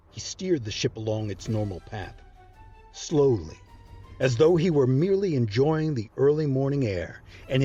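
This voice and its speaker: A man's voice: medium pitch, dark tone, breathy edge, muffled recording. A man narrating history in a scripted documentary style. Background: background music.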